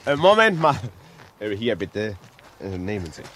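An actor playing a polite, inquisitive journalist in a 1950s radio drama, asking courteous, probing questions. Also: male voice, fairly low pitch, sing-song, unhurried, a bit bright, exaggerated voice. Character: polite, inquisitive male journalist, affable visitor. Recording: noisy old 1950s radio recording.

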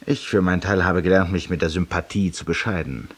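Deep, husky, smug barroom confessor. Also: male voice, fairly even intonation, brisk. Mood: confiding, ironic. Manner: eloquent, urbane, ironic, addressing a silent listener. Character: self-satisfied, confessional middle-aged male barroom raconteur. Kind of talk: theatrical radio drama monologue.

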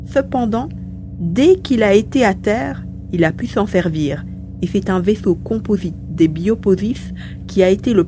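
A woman's voice: fairly low pitch, darkish tone, natural voice. A woman playing a small, cheerful male mouse-beaver mutant who chatters wordily in an audio serial. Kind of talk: acted dialogue in an amateur audio serial. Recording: faint background hum and noise.

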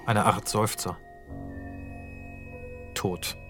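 Calm male radio play narrator. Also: lowish voice, very slow, soft background music. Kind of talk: scripted narration of stage directions.

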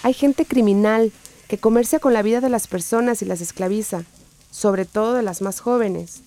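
Actress as a mellow, earnest woman survivor. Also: medium pitch, normal speed, close to an everyday voice. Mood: earnest, warning. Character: gentle, resilient woman survivor of trafficking. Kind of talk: radio drama acting.

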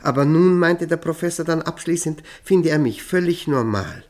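A man's voice: on the high side, normal speed, a little mellow, lightly acted. A man acting a courteous, nervous former prisoner in a radio play, speaking hesitantly and reflectively with formal politeness. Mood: confiding, reflective. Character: haunted Austrian lawyer, former Gestapo prisoner, courteous and nervous. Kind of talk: German radio play acting, confiding narration.

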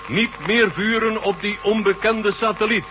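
An actor playing a commanding Soviet marshal in a science-fiction radio play, giving curt, authoritative orders. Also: male voice, sits high, unhurried, dull audio, over loud music. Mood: urgent, commanding. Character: commanding Soviet marshal directing space operations. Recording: noisy old radio drama recording.

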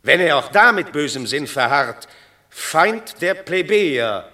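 Forceful, scheming Roman tribune. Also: male voice, a little high, sing-song, a little slow, heavily acted. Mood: warning. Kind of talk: classic German radio drama, stage verse.

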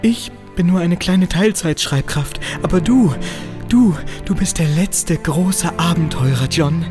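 A woman's voice: fairly low pitch, a little slow, natural voice. A woman playing a devoted, sentimental office typist in a comedic radio play, tender and melodramatic. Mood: wistful, tender. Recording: music behind the voice.

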